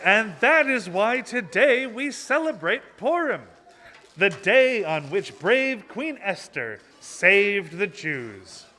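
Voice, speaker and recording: Animated male storyteller in a ringing hall. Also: high-pitched. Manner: theatrical, emphatic, deliberate. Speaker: puppet show narrator. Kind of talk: animated storybook narration for children. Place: social hall, live before an audience. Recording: echoey social hall with noise.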